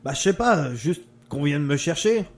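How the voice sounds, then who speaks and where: A fairly high male voice for a dead, bourgeois boss reduced to a talking head, played by an actor. He is whiny, crude and sarcastic, grumbling in everyday slang for comic audio fiction. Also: expressive, moderate pace, natural voice.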